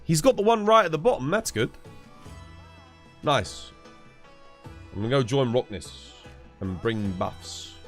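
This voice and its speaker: A man's voice: mid-range voice, very animated, a little slow, pauses often, fairly bright tone, soft background music. Congested man streaming a game. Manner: chatty, answers viewers' questions while playing. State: congested, stuffed-up nose. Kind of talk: casual live-stream chat.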